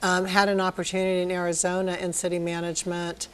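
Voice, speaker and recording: Measured woman from Virginia. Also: lowish voice, completely flat delivery, slight background noise. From Northern Virginia, USA. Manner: articulate, measured, with filler ums. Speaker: city manager, interview guest. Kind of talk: explanatory interview answers. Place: TV studio.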